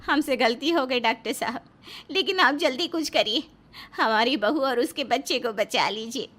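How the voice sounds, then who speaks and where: An indignant Indian wife as a woman narrator acts her in a cartoon family story. The voice is pitched up and outspoken, insistent in its delivery. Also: moderate pace, fairly bright tone. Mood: pleading. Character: indignant, outspoken Indian wives and daughters of household. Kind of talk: acted storybook dialogue.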